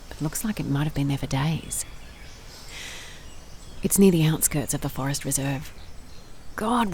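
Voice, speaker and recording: A determined female private investigator in an audio drama. Her voice is low with a gravelly creak, measured and brooding, as if dictating case notes. Faint outdoor noise sits behind her. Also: darkish tone.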